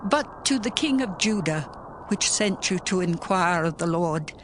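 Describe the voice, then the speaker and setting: A woman's voice: fairly low pitch, lively intonation, noisy background. A woman playing a stern prophetess in a dramatized scripture reading, solemnly declaiming divine judgment. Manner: deliberate, oracular, declamatory.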